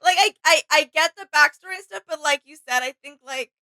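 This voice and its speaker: A woman's voice: high-pitched, brisk, pushed and bright, dry recording. A woman co-hosting a reaction video, talking casually in an agreeable, slightly tentative way.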